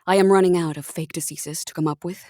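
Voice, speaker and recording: A woman's voice: on the low side, steady tone, fast, darkish tone, no room sound, clean studio sound, lightly acted. A woman playing a self-important, conspiratorial hospital patient who acts the investigator, confiding dramatically in the listener in a comic audio monologue. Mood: secretive. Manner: dramatic, breathy asides to the listener.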